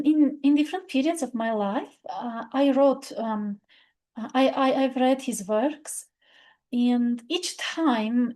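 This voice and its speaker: A woman's voice: fairly high pitch, mellow. A woman whose first language is Armenian, speaking English in an unscripted, reflective talk about poetry, with many fillers and restarts. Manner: reflective, thoughtful. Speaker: literature video presenter.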